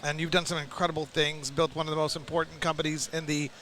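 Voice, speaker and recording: A man's voice: on the high side, flat delivery, fast, bright, forceful tone. A man hosting a podcast interview, effusive and complimentary, talking in a loose, rambling way. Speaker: podcast host. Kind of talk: casual interview.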